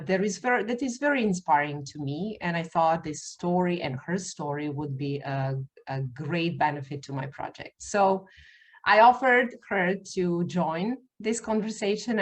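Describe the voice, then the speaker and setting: A woman hosting an interview podcast over a video call, talking haltingly with many fillers and restarts, English not her first language. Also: lowish voice. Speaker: podcast host.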